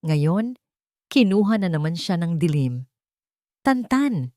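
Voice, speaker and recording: A woman narrates a romance drama with emotive, dramatic delivery. Her voice sits fairly low. The recording is close and dry. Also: expressive, moderate pace, a little mellow, no echo.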